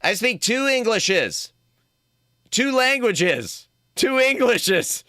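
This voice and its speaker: A man riffs on a game for his live chat, joking and animated. His pitch leaps around and his tone is bright and forward. The sound is dry and close. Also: extremely slow, no room sound. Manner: jokey, animated, talks to chat. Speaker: streamer.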